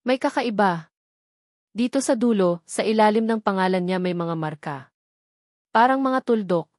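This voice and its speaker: A woman's voice: medium pitch, expressive, moderate pace, darkish tone, no echo, clean studio sound, close to an everyday voice. Woman voicing a firm, serious Filipino male investigator. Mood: intent. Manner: firm, practical, clear. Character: serious, cautious man, a sharp-minded investigator. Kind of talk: dramatic audio storybook dialogue.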